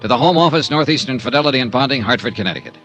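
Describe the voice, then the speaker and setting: An actor playing a tough, sardonic American insurance investigator in a 1950s radio noir drama, with a clipped, sarcastic delivery. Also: male voice, medium pitch, expressive, rapid-fire, fairly bright tone, soft background music, natural voice. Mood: brisk, businesslike. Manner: clipped, sarcastic, hard-boiled.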